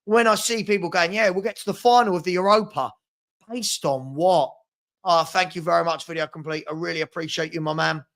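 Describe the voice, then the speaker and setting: A British man hosting a live football fan stream with a hoarse voice, exasperated and emphatic, repeating himself as he reacts and reads comments. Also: a little high, fairly quick, a bit bright. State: hoarse, losing his voice. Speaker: host of football watchalong stream.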